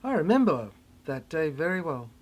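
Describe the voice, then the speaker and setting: A performer delivering a theatrical spoken-word monologue in fragmented, halting phrases with dramatic swings. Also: medium pitch, very animated, a little mellow.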